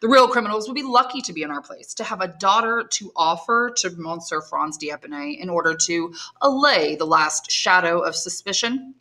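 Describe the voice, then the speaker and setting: Woman reader doing a cold calculating man, measured and smooth, bright tone. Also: fairly low pitch, animated. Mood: cool.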